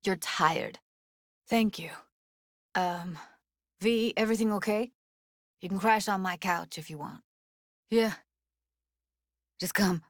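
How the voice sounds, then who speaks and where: A woman's voice: medium pitch, slow pace, long pauses, no echo, clean studio sound, lightly acted. An actress playing a tough, sardonic, emotionally raw young woman techie in a video game, talking in a blunt, slangy, streetwise way. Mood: soft, caring. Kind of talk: naturalistic video game voice acting.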